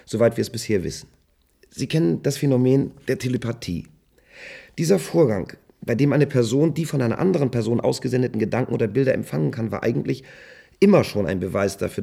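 An actor playing an earnest, uneasy scientist in a radio play, explaining formally and haltingly with a gravelly, husky voice. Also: male voice, lowish voice, dark tone. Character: earnest, uneasy male scientist-inventor doctor.

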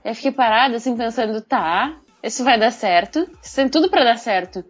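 A Brazilian woman with a fairly high voice chats as a podcast guest. She is informal and sardonic, and her audio is somewhat muffled, with faint music. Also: animated, a little fast. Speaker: podcast panelist. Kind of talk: casual chat.

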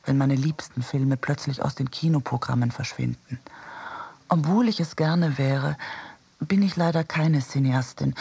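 An actor playing a reflective narrator of memories in a radio drama, quiet and measured, with a husky, breathy voice. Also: male voice, on the high side, heavily acted. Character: reflective narrator recalling childhood, cinema and wartime loss. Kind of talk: literary first-person narration for radio drama.

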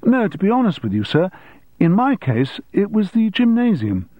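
A man's voice: sits high, big pitch swings, normal speed, no echo, phone-quality sound, pitched above the performer's own voice. A man voicing a cheeky, unflappable teenage schoolboy in an audiobook, with frank, mock-deferential politeness. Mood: cheekily candid. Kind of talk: audiobook character dialogue.

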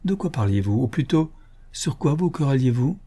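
A man reading the part of an indulgent grey-haired mother who gently scolds her children. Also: mid-range voice, lively intonation, moderate pace, husky, voice pushed up. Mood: fond scolding. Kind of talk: audiobook character reading.

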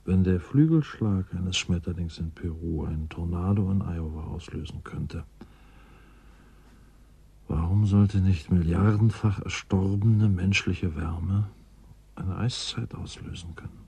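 An actor playing a reflective, philosophical man in a radio drama, speaking in a measured, emphatic way with a husky voice. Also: deep voice, mellow, heavily acted. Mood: earnest, emphatic. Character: reflective man theorizing on human warmth and ice.

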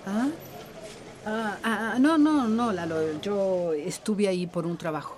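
A woman's voice: medium pitch, slow pace, a little mellow, natural voice. A woman playing a worldly, sardonic and secretive middle-aged activist in a radio drama. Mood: evasive.

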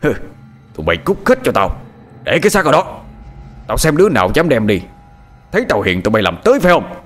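A man's voice: medium pitch, wildly swooping pitch, a little fast, faint music behind, voice pushed up. A man voicing a brutal old village tyrant who barks threats and curses. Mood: commanding. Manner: barking, snarling threats.

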